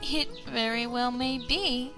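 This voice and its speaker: A woman voicing a kind grown-up female pony princess in a storybook, a gracious elder-sister mentor giving gentle, solemn advice. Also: a little high, fairly even intonation, takes it slowly, music in the background, voice pushed up. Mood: solemn, cautioning.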